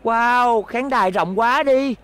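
A woman's voice: on the high side, slow pace, fairly bright tone. A woman voicing an earnest, polite young robot boy in a cartoon dub. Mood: amazed. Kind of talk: cartoon dubbing.

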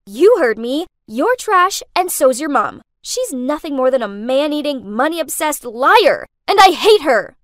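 A woman's voice: sits high, big pitch swings, moderate pace, no echo, studio quality. A woman voicing a hot-tempered, jealous young woman in a dramatic story, melodramatic and emphatic. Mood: screaming rage.

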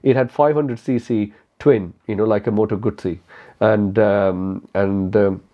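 A man in his forties with an Indian English accent, speaking candidly to camera in a casual question-and-answer video, halting with many fillers. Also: lowish voice, fairly even intonation. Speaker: motorcycle YouTuber. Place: small cozy room indoors. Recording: slightly dull lo-fi audio.

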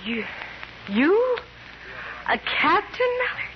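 A self-assured female private detective with a dry, playful manner and a very lively melody to her speech. She belongs to a 1950s radio crime drama. The recording is dull and noisy. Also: very high-pitched, slow pace, full character voice. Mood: astonished.

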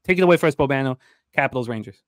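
A man's voice: mid-range voice, animated, very fast, no echo. A middle-aged man hosting a sports betting show, breaking down games conversationally in short, emphatic statements, close on a desk microphone. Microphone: large desk microphone, close.

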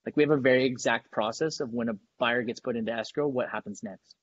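A man in his thirties from San Diego, a team leader who explains his business in a brisk, matter-of-fact way over a video call from his dining room. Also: mid-range voice, a bit bright, no room sound, lo-fi sound. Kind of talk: casual video-call interview. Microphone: earbuds on video call.

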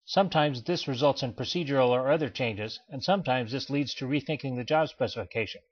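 A man narrates a training slideshow in a steady, explanatory manner, his voice sitting at an ordinary middle pitch. The recording sounds slightly dull and lo-fi, like a plain home voice-over. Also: breathy edge. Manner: measured, even explanatory delivery. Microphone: voice-over, not recorded in pictured place.

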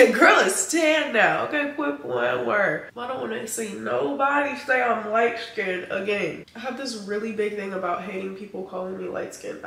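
A woman's voice: medium pitch, noisy background. A young woman vlogging casually to camera, chatty and slangy, a little nasal and congested from allergies. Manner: chatty, animated, slangy asides to viewers. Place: indoors at home. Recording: roomy echo, a bit off-mic.

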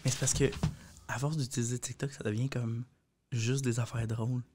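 A man's voice: medium pitch, moderate pace, noisy background. A young man with a Quebec French accent, joking and acting out quotes in a casual podcast discussion in a small studio. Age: young adult. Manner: animated, jokey, acts out quotes. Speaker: panelist. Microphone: studio mic with headphones.

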